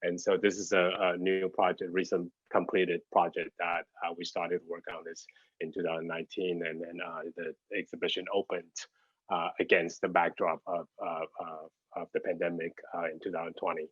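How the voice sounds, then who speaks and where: A middle-aged man from Taiwan with a Taiwanese accent, giving an informal online talk as a designer in a halting, hesitant way while searching for words. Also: sits low, flat delivery, husky, no room sound. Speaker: graphic designer and studio head giving a guest talk. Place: at home, on a video call. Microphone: computer video call. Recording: dull, lo-fi video call audio.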